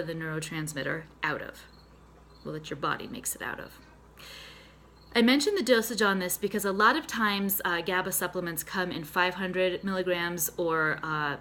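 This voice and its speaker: A woman's voice: fairly low pitch, a bit bright, slight background noise. A woman with a Boston accent, a psychotherapist and nutritionist explaining things step by step in a friendly, conversational talk. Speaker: psychotherapist and mental health nutritionist. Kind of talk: casual educational video talk.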